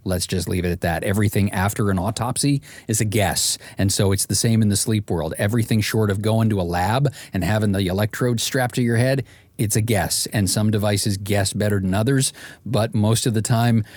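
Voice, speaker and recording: Male podcast host with a lowish voice, wry conversational commentary, very dry studio-like sound. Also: fairly quick, a little mellow, no echo.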